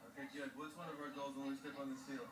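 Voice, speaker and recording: A man's voice: medium pitch, level tone, very fast, recorded from a distance, noisy background. A man coaching a youth baseball team, firm and clipped as he quizzes the kids. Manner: drill-sergeant-like pep talk. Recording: roomy video played back from afar.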